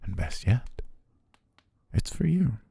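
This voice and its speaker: A young man playing a devoted, quietly possessive butler, speaking softly and doting in an ASMR roleplay on a close mic. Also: mid-range voice, big pitch swings, slow, vocal fry, husky, dry recording, exaggerated voice. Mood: soothing whisper. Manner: soft, coaxing, doting, lulling. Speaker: ASMR voice actor.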